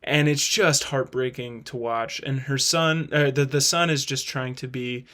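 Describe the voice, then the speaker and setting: A man with a medium-pitched voice gives a casual spoken review. He talks like a host thinking out loud, qualifying his judgments as he goes. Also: steady tone, a little slow.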